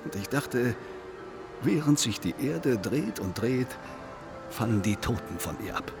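An actor playing a laconic undertaker in a German radio drama, speaking in a dry, detached, deliberate manner with morbid musing. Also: male voice, mid-range voice, expressive, over music. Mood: brooding, musing.

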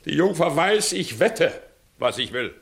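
An actor playing a scheming village judge in a German radio play, blustering and wheedling with theatrical delivery. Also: male voice, on the high side, big pitch swings, measured pace, creaky, heavily acted. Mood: wheedling, sly. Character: blustering, scheming village judge hiding his own guilt. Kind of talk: 1960s German-language radio acting.

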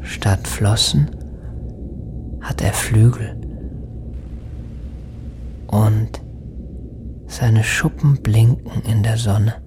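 An actor playing a dreamy lost soul in a poetic radio drama, speaking in halting, half-whispered phrases with long pauses. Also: male voice, fairly low pitch, background noise. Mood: wistful, entranced. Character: lost soul, a dreamy male spirit seeking redemption. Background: music.

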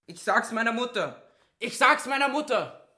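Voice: mid-range voice, expressive, normal speed, bright, forceful tone. A performer playing an indignant, tattling small boy in a radio play, whining and complaining. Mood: wailing threat.

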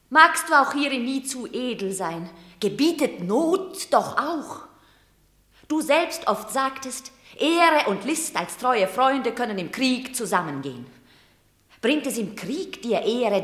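A woman's voice: a little high, sing-song, takes it slowly, fairly bright tone, full character voice. An actress playing a commanding, strong-willed Roman mother, urging and pleading in classic German radio drama declamation. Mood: urgent persuasion. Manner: imperious, pleading stage declamation. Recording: roomy radio drama studio sound.

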